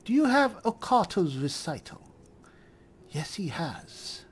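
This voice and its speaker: A man thinking aloud in character as he plays a roleplaying game, measured and deliberate, often muttering to himself. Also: high-pitched, sing-song, breathy edge. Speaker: gamer narrating a roleplay playthrough.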